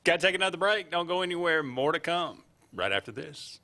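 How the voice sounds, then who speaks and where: A man's voice: on the high side, big pitch swings, brisk, bright, forceful tone. A man, co-hosting sports talk with short, blunt remarks, a little away from the mic. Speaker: sports talk show co-host. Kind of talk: casual sports radio chat.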